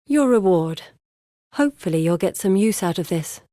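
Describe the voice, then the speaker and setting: An actress playing a haunted, determined young woman researcher in a video game, speaking earnestly and solemnly in a measured, dramatic way. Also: on the low side, lively intonation, warm tone, no echo, clean studio sound, close to an everyday voice. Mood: wistful, weary.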